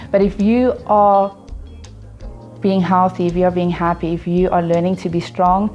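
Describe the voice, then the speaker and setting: Earnest, mellow-voiced woman. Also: on the low side, little pitch movement, moderate pace, highs cut off, soft background music. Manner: earnest, motivational, building to encouragement. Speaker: interviewee. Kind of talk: personal reflection to camera.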